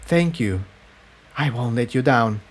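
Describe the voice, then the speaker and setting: A man voicing a grave, weary female pony defector in an audiobook story, speaking in measured, regretful explanations. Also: medium pitch, expressive. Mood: solemn.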